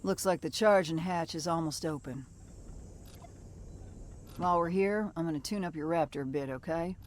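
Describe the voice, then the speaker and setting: A woman's voice: low-pitched, normal speed, slight background noise, close to an everyday voice. An actress playing a tough, plainspoken American female bounty hunter in a video game. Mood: friendly. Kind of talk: video game cutscene acting.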